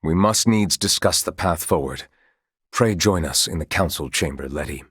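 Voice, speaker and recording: A man's voice: sits low, expressive, moderate pace, fairly bright tone, husky, no echo, studio quality, full character voice. A man playing a composed, proud knight commander of royal blood in a video game, speaking in formal, archaic, courtly phrases. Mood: composed, commanding.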